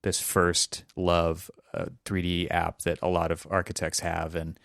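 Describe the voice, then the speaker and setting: A man's voice: deep voice, monotone, a little slow, gravelly, a bit bright, no room sound, studio quality. A man hosting a podcast, asking curious, rambling questions and agreeing often. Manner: curious, asks long questions, many backchannels. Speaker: podcast host. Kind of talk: casual interview chat.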